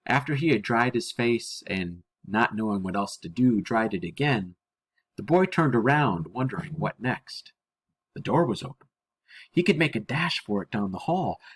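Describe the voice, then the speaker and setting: Animated man reading a story, husky low voice. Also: normal speed, dry recording. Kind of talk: expressive read-aloud narration of a short story.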